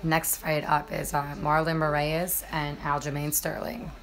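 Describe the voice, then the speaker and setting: A woman with a low, slightly hoarse voice hosts a relaxed live stream from her computer. She talks straight to her viewers in a loose, chatty way, with plenty of fillers and restarts. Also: a little slow, poor audio. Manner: chatty, many fillers, addresses viewers directly. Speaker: MMA journalist and live-stream host. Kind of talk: casual live-stream commentary.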